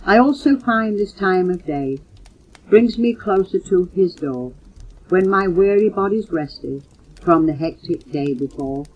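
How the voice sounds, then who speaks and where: An older woman reading poems aloud in a measured, earnest way, recorded on a muffled old home cassette. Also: on the low side, lively intonation, slightly rough. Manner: measured, earnest recitation, announcing titles and authors. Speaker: mother reading poems for her children. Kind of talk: recited poetry reading.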